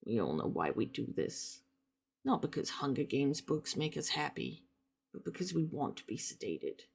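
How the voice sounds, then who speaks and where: A woman playing a withdrawn, bitter young male hacker in a hushed, breathy, muttered dramatic monologue. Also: full character voice. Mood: bitter, numb. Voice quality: hushed, low, breathy murmur. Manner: flat, quick muttered delivery. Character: disillusioned, withdrawn young hacker man, bitterly anti-society.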